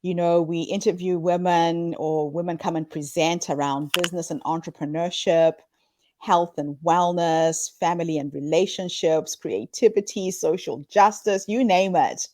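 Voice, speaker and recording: Woman in her 50s from zimbabwe, lowish voice, dry close sound, earnest and upbeat. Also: steady tone, moderate pace, no echo. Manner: earnest, upbeat storytelling with warm laughs. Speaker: guest, founder of a women's story platform. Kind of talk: conversational interview answers.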